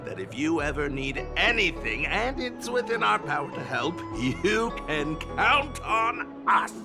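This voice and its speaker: An earnest, bungling gentleman from a video game, speaking slightly high and slowly. His pitch swings theatrically as he draws out formal, courteous phrases, with loud music behind him. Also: big pitch swings. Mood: effusive gratitude. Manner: formal, old-fashioned, drawn-out phrasing.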